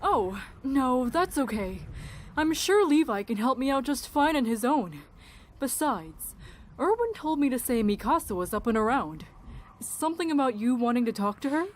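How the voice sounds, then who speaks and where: Chatty actress as a higher-voiced woman doctor. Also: big pitch swings, normal speed, slight background noise. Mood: breezy. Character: chatty, perceptive female camp doctor in zombie apocalypse. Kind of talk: audio drama dialogue.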